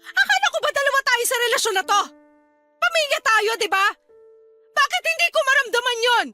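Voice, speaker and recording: Very high-voiced young Filipino wife. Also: female voice, expressive, fairly quick. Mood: angry, hurt. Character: young wife and mother, resentful of being left out. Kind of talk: Filipino radio drama acting. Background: music.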